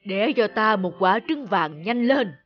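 A performer voicing a huge, menacing male giant in a children's cartoon, gruff, theatrical and commanding. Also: mid-range voice, lively intonation, measured pace, muffled recording.

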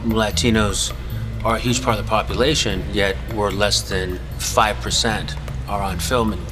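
Earnest middle-aged man, low voice. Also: steady tone, normal speed. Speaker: writer and comedian. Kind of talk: interview. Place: wood-paneled room. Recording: music playing underneath.

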